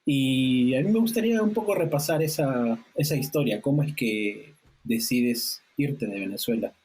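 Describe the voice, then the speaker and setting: A man hosting a podcast interview, talking in a relaxed, friendly way with frequent hesitant fillers. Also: mid-range voice, moderate pace, breathy edge. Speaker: podcast host. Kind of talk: casual interview conversation. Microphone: remote call setup.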